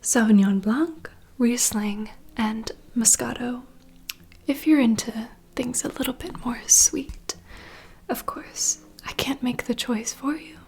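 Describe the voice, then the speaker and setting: A woman playing a poised, courteous vampire bartender in a whispered ASMR roleplay, unhurried and softly teasing. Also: a little high, fairly even intonation, vocal fry, breathy edge, full character voice. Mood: proud, inviting. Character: poised, welcoming female vampire bartender, proud mixologist.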